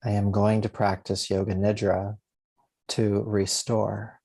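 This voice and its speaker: A man leading a guided meditation as a yoga nidra teacher, speaking gently and soothingly in spaced, unhurried phrases. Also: on the low side, monotone, gravelly.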